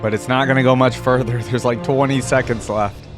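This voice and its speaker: A man's voice: mid-range voice, fairly even intonation, fairly quick, music in the background. A man hosting a reaction video with casual, conversational commentary, thinking aloud and qualifying his opinions as he goes.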